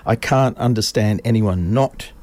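A man's voice: lowish voice, measured pace, a little mellow. Rambling man telling stories. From Australia. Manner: rambling storyteller, many fillers and restarts. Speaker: podcast guest. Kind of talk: casual interview chat.

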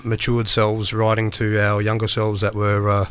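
A man in his thirties, guesting as a rapper, speaks in a low voice with very little rise and fall. His delivery is measured and steady. He is talking in a radio studio. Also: clean tone. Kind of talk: casual radio interview.

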